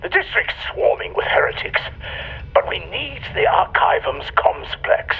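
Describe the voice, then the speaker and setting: A haughty old commander of a grim sci-fi empire. His delivery is high-pitched and gravelly, swinging explosively from muttering to outrage. The sound is dull, with faint noise. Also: wildly swooping pitch, unhurried, full character voice. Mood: bitter, angry. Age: older. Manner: imperious, theatrical, explosive. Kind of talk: cinematic game voice acting.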